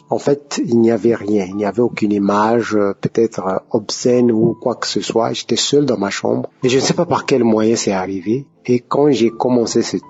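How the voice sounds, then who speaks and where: Man, 50s, earnest testimony, hesitant, low voice, muffled, faint music. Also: darkish tone, husky. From Republic of the Congo. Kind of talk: religious testimony.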